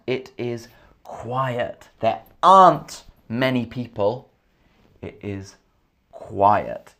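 A man with an English accent teaches English on a live stream, speaking slowly and clearly. His pitch rises and falls with a lot of movement as he repeats each question for learners. He stops often to greet and thank viewers from the chat. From England. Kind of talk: live online English lesson, reading chat comments. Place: indoors, in Scotland.

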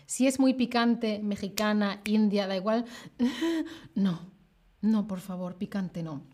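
A woman, teaching on a live stream and answering viewers' chat questions in a lively, expressive way. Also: medium pitch, slight background noise. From Spain, Seville. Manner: lively and expressive, slows down to spell out words clearly. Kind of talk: live-stream Spanish lesson, chatting with viewers.

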